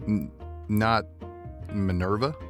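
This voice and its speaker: A man plays an earnest rancher on vacation in an audio drama romance. His voice is on the low side, courteous and hesitant, with faint music behind. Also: slow. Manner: hesitant, earnest, laid-back.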